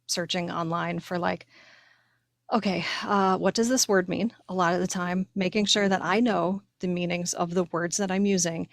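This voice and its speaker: A woman with a fairly low, gravelly voice chats as a podcast co-host. Her intonation stays fairly even as she thinks aloud informally, and the sound is close and dry. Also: dry recording. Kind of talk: casual chat about writing.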